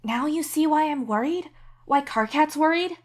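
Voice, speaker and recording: A caring young woman voiced by a female reader in a narrated story. She is patient and concerned, speaking gently but pressing her point like a worried friend. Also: sits high, normal speed, pitched above the performer's own voice, natural voice.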